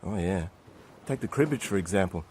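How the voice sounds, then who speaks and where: A man's voice: deep voice, animated, fairly quick, darkish tone, slight background noise. An actor playing a cocky, restless young man in a radio drama, speaking in a colloquial, off-hand way. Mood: scornful. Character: cocky, restless young male accountant at sea.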